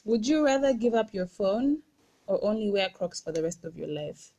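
A young woman hosting a casual chat with her friends, chatty and quick to laugh. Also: medium pitch, animated, mellow. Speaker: host.

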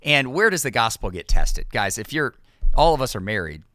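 A man's voice: medium pitch, very animated, normal speed, sharp tone, no echo, studio quality. A male podcast host and pastor in casual conversation, encouraging listeners in a sermon-like cadence.

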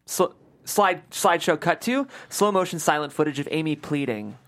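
A woman reads screenplay directions aloud at a table read. Her voice sits very low for a woman and moves with lively, expressive intonation. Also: moderate pace. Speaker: stage-direction reader.

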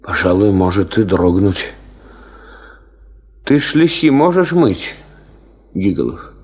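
A thoughtful field party leader in a radio drama. His voice is low and very unhurried. He weighs each phrase calmly and deliberately. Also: husky, exaggerated voice. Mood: pondering, calm. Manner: deliberate, calm, pondering. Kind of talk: radio play acting.

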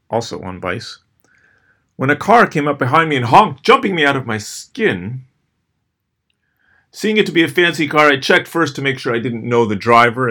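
A middle-aged man reading scripted personal stories as host, with a dry, wry, measured delivery and deliberate pauses. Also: mid-range voice, creaky, pushed and bright. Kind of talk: scripted first-person storytelling.

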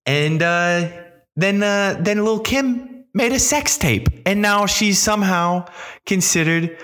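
A man hosting his own podcast rambles in a put-on New Jersey accent. He speaks unhurriedly in a fairly high voice, swearing freely and filling gaps with "uh" and "like.". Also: pushed and bright. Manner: rambling, swears casually, lots of fillers. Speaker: podcast host. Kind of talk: casual solo podcast rant.

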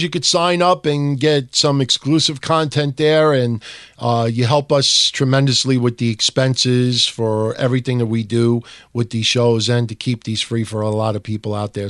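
A man hosting a wrestling podcast, his fairly high voice a little hoarse from days of talking. He talks in an emphatic, quick way and jumps in over his co-host, and the close mic leaves the sound dry. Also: no room sound. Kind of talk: casual wrestling podcast chat.

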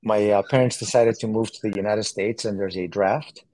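A man in his forties, born in Greece, chatting as an interview guest and often pausing on fillers and restarts. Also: on the low side, fairly even intonation, darkish tone, dry recording, low-quality recording. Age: 40s. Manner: halting, many fillers and restarts. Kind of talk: casual interview chat.

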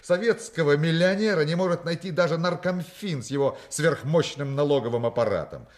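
An actor playing a sly, self-assured swindler in a radio play, speaking with ironic, theatrical grandiloquence. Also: male voice, on the high side, a little fast, natural voice. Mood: ironic lament.

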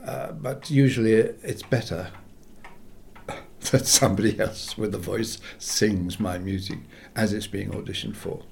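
A man's voice: fairly low pitch, measured pace, vocal fry, a little hiss or hum. Older English-born man reminiscing animatedly. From England. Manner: rambling, animated, acts out quoted voices. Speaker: composer, interview guest. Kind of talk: reminiscing interview.

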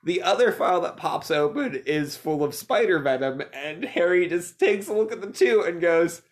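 A man's voice: high voice, animated, moderate pace. A man co-hosting a podcast, recapping a movie in casual chat with sarcastic, joking asides. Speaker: podcast co-host.

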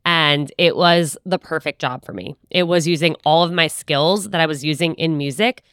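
A woman's voice: low voice, moderate pace, a bit bright, clear, resonant tone, no echo, studio quality. A young adult woman, a podcast guest, talking earnestly and chattily with plenty of "like" fillers. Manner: earnest, chatty, lots of likes. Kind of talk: casual interview chat.

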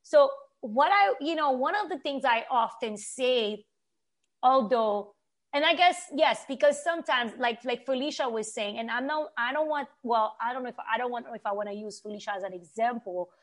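Woman, higher-set voice, earnest and emphatic, conversational opinion talk, from Haiti.

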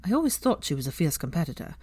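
A woman's voice: deep voice, very animated, racing, darkish tone, slightly rough, dry recording, heavily acted. A woman voicing a haughty young wizard man in a story reading, scoffing and dryly sardonic. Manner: scoffing, dry.

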